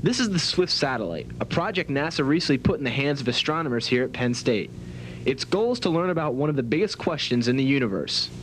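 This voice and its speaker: A man's voice: medium pitch, expressive, fast talker, a little hiss or hum, low-quality recording. A young man narrating a scripted student television news report. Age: young adult.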